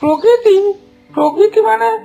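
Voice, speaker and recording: A woman's voice: very high-pitched, measured pace, gravelly, warm tone, lo-fi sound, soft background music, heavily acted. A woman voicing a wary, easily rattled young boy who scolds and exclaims in a children's cartoon. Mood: puzzled, uneasy.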